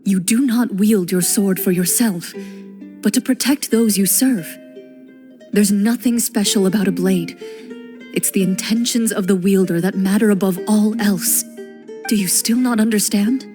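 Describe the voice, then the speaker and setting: A woman voicing a composed, stern young female duelist in a game cutscene, speaking in a calm, measured, quietly commanding way. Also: medium pitch, faint music behind, close to an everyday voice. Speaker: game character, a duelist hunter. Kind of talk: video game cutscene voice acting.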